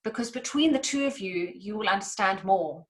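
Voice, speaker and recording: A woman's voice: medium pitch, animated, moderate pace. A woman who is a native English speaker and a language coach, chatting casually with many fillers and restarts. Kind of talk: casual podcast chat.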